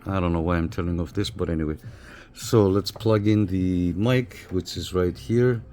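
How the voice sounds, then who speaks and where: Man, low-pitched voice, casual hobby reviewer, hesitant and repetitive, background noise. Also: normal speed, warm tone. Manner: hesitant, repetitive, thinking aloud while working. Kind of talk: casual hands-on demonstration.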